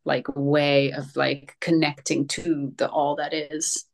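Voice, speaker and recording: Slow, low-voiced Dutch woman, searching for words. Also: little pitch movement, vocal fry. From Netherlands. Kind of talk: reflective casual conversation.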